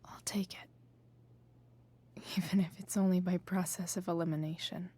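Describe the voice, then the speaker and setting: A woman playing a supportive, wry teenage girl in a video game, speaking in a hushed, tentative, breathy voice. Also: lowish voice, fairly even intonation, normal speed. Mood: gently reassuring. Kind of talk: naturalistic video game voice acting.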